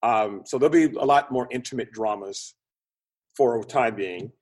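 A man's voice: medium pitch, normal speed, a little mellow, slightly rough. A middle-aged or older man giving casual interview answers as a guest, chatty and explanatory, thinking aloud as he goes. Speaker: podcast guest, film producer and script consultant.

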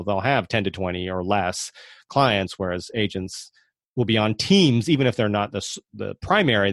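A man's voice: fairly low pitch, dry recording, studio quality. A man hosting a podcast interview, talking casually and conversationally with his guest.

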